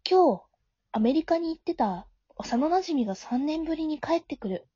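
A high-pitched, mellow high school girl, acted by a woman in an amateur audio drama. She is caring and easily flustered, moving between inner narration and dialogue. The sound is very dry and slightly dull, like a home recording. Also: expressive, normal speed, dry recording. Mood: expectant.

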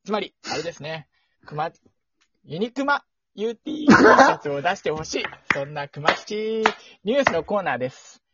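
A young man hosting a casual podcast, playfully deadpan, slipping between polite announcer phrasing and chatty rambling. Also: fairly high pitch, normal speed, very noisy. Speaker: podcast host. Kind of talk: casual two-person podcast chat with mock news segment. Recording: dull lo-fi audio.

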